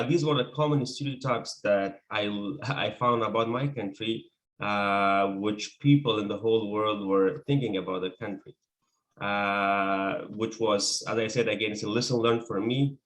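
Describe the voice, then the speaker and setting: A man's voice: lowish voice, poor audio. A man from Afghanistan, a native Persian speaker with an Afghan accent, giving an earnest personal account as an interview guest.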